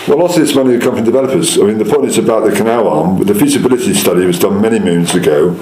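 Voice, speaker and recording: Lowish male voice, English accent, rapid delivery, persuasive, repeats points, interview setting. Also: warm tone, slight background noise. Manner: insistent, explanatory, repeats points. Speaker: local councillor. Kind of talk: interview answers, political persuasion. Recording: echoey room.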